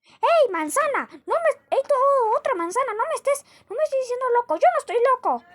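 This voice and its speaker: A shouting, self-important apple who claims to be the mightiest being in the world, as a woman puts him on. He yells his threats in a very high, piercing voice, a puppet-style cartoon of talking groceries. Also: sing-song, fairly quick, exaggerated voice. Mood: boastful threat. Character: boastful unhinged male apple with powers.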